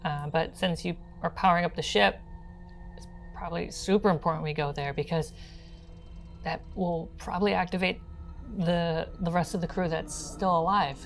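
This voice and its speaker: A woman's voice: on the low side, steady tone, vocal fry, soft background music. A woman voicing a timid six-year-old girl in a tabletop game, speaking hesitantly. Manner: hesitant, halting answers. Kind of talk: tabletop roleplay.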